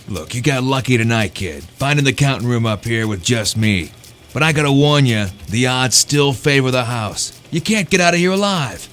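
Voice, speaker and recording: A man's voice: medium pitch, lively intonation, moderate pace, fairly bright tone, a little hiss or hum, close to an everyday voice. An actor playing a knowing older male casino worker who gives taunting warnings in an audio drama. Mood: wry, warning. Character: knowing, ominous older male casino counting-room man.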